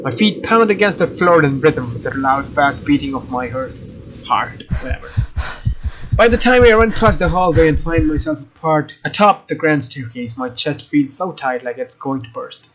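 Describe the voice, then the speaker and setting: Higher-pitched man doing let's play narration. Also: animated, normal speed, a bit bright, a little hiss or hum, low-quality recording. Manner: joking, informal. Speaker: let's player. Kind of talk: let's play narration, reading game text aloud with casual asides. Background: game music.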